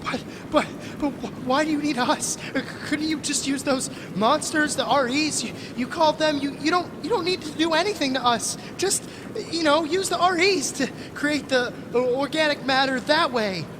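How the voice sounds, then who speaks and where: A frightened male captive pleads in a very high voice. He stammers and repeats himself desperately, in audio drama style, with a clear rumble of noise behind him. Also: moderate pace, few pauses. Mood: nervous pleading. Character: frightened, desperate young man, captive of a villain.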